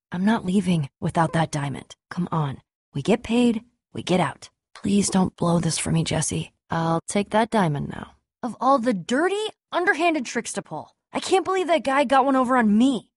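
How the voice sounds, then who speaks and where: A woman voicing a tough, sarcastic, self-assured young adventurer and trader in a video game, with an American accent and a wry, brisk delivery. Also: mid-range voice, dry recording, clean studio sound. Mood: businesslike, bossy, then indignant, angry. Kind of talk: animated adventure game dialogue.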